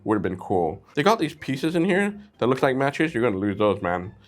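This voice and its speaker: A man's voice: mid-range voice, big pitch swings, brisk. A man hosting a casual, chatty product review, talking informally and playfully straight to his viewers. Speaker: host of a brick-set review channel.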